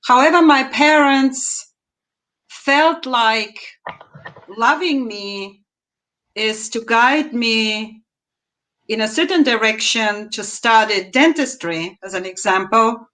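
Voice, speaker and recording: A woman in her fifties with a Bulgarian accent, speaking on a video call from a room at home as an interview guest and telling her story in a measured, deliberate way with emphatic rises. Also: fairly high pitch, pushed and bright, low-quality recording. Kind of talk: interview answers, personal story.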